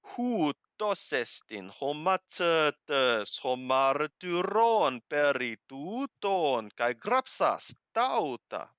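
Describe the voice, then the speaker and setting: A man reads scripture aloud and translates it in short, literal phrases. His delivery is extremely slow and emphatic, with very lively swings in pitch. The voice is clean and resonant, with halting, deliberate phrasing. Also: dry recording, dull audio. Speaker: Bible reader and translator.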